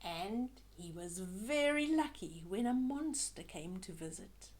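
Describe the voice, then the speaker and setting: A woman's voice: medium pitch, expressive, slow, a little mellow. An older woman reading a children's story aloud, expressively, with chatty asides to young listeners.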